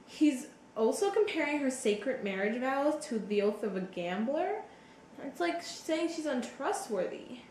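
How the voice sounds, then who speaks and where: A woman's voice: sits high, expressive, measured pace, a little mellow. A woman playing a curious, blunt younger sibling who reacts to a play in casual, informal chat. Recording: roomy echo, faint background noise.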